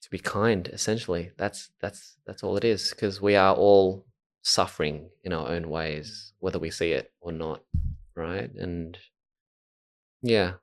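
Male podcast host, Australian accent, deep register, thoughtful, earnest conversational delivery. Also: steady tone, a little slow. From Footscray, Australia. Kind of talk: reflective casual conversation.

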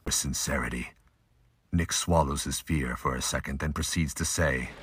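A man's voice: very deep voice, normal speed, fairly bright tone, breathy edge. A man reading scripted dramatic narration as the narrator of an audio drama.

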